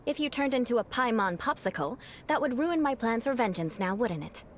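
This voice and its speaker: A woman's voice: a little high, animated, brisk, a little hiss or hum, close to an everyday voice. A woman playing a haughty young knight in a video game, formal and theatrically stern, with talk of revenge that masks a caring side. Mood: teasing, mock vengeful.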